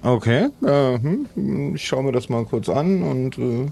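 A man's voice: medium pitch, lively intonation, measured pace, creaky, dark tone. A man playing along in an improvised audio adventure, speaking casually in character. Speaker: player in an improvised audio play. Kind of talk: casual improvised role-play.

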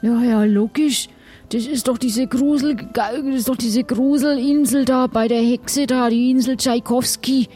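A woman's voice: on the high side, fairly even intonation, unhurried, mellow, faint music behind. A woman playing a chatty, loyal, naive male pirate in an improvised adventure, rambling along colloquially and hesitantly. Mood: eager, puzzled.

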